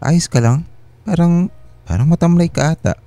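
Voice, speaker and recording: A man's voice: on the high side, normal speed, warm tone, faint music behind, lightly acted. An actor playing an affable, oblivious husband and father in a radio drama, casual, affectionate and easily distracted.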